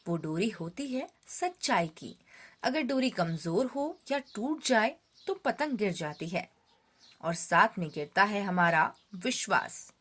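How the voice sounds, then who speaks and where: Female storyteller as old male woodcutter, wise, gentle, kindly, slow-paced, children's moral tale. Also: mid-range voice, very animated, breathy edge, exaggerated voice. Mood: gentle, grave.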